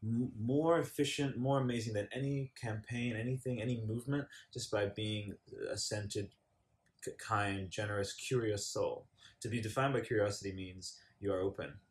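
A man from Australia hosts a casual podcast interview. His voice sits a little low, and he talks with rambling enthusiasm, restarting sentences and wandering into tangents. He sounds at ease chatting with his guest. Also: fairly even intonation, moderate pace, husky. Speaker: podcast host.

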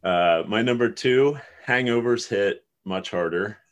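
Man, 30s, from Ohio, lowish voice, easygoing and rambling; casual podcast co-host. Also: a little slow, dull audio. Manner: laid-back, rambling, laughs often. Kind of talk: casual chat.

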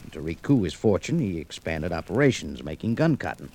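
An actor playing a tough, wry adventurer in an old radio detective drama, with punchy hardboiled delivery. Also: male voice, low-pitched, sing-song, fairly quick, vocal fry, darkish tone, exaggerated voice. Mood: wry, matter-of-fact. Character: tough, wry male adventurer narrating his exploits. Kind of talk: 1940s-50s radio detective drama acting. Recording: slightly noisy old radio recording.

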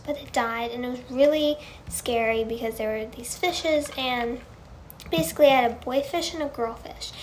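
A woman with a high, girlish voice chatting casually on a livestream, answering fan questions in a gushing, earnest way and often repeating herself. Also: a little mellow, a little hiss or hum.

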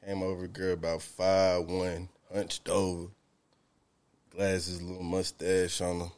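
A man's voice: sits low, monotone, takes it slowly, a little mellow. Laid-back man hosting a podcast. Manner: laid-back, slangy storyteller. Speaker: podcast host. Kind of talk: casual chat.

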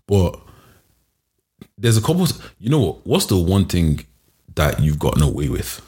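Deep husky man. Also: unhurried. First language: Yoruba. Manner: animated storyteller, laughs often, London slang. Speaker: podcast co-host. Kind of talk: casual chat, storytelling.

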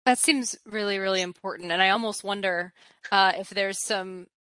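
A woman's voice: medium pitch, fairly even intonation, normal speed, bright, forceful tone, clean tone, no echo. A woman hosting a virtual panel, affirming her guests enthusiastically and leading into questions. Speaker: moderator, host. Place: indoors, virtual event call.

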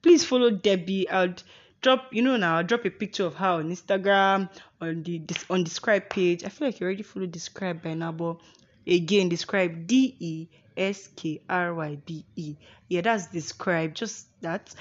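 A woman's voice: on the low side, moderate pace, muffled recording. A young Nigerian woman hosting a podcast in casual chat, playful and teasing, often laughing. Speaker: podcast host.

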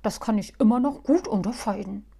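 A woman voicing a calm, strict little male gnome who explains things in a measured way. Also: on the high side, vocal fry, slightly rough. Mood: stern.

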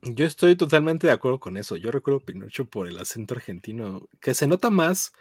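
A man's voice: mid-range voice, very animated, a little fast, breathy edge, no room sound. Male host, Mexican accent, mid-range voice, conversational explainer in a casual panel talk, close dry recording. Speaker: host of a film dubbing discussion.

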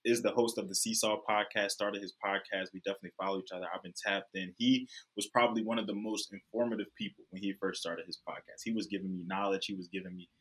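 A man's voice: deep voice, level tone, fast talker, few pauses, fairly bright tone, husky, rough recording. A young adult man hosting a podcast in a casual, upbeat, slangy way. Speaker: podcast host.

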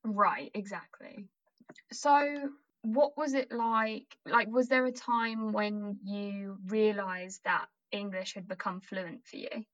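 A woman's voice: mid-range voice, fairly even intonation, slow, dull audio. A young woman interviewing a friend in a casual chat, asking long, rambling, curious questions.

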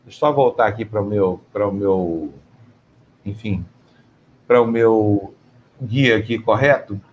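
Brazilian male news anchor, middle pitch, casual and outspoken, slight background hiss. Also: unhurried, lo-fi sound. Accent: Brazilian Portuguese. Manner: informal, indignant, directs the crew on air. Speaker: news show host. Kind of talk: morning news commentary.

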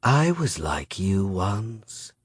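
Man voicing a slow, purring female predator spirit. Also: sits low, very animated, husky, no room sound, studio quality, full character voice. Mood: bitter. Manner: slow, drawn-out, purring menace. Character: menacing female predator spirit, cold, mocking, contemptuous.

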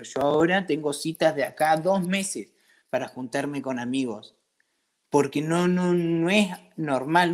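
A man from Patagonia with an Argentine accent, chatting casually and colloquially as he tells stories and acts out bits of dialogue. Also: a little high. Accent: Argentine Spanish. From Patagonia, southern Argentina. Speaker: interviewee, craft brewery owner. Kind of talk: casual conversational storytelling.

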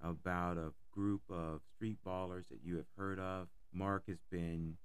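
A man hosting an interview podcast, his voice hoarse from a cold, talking with enthusiasm and repeating words. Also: sits low, fairly even intonation, unhurried. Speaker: podcast host. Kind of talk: casual interview.

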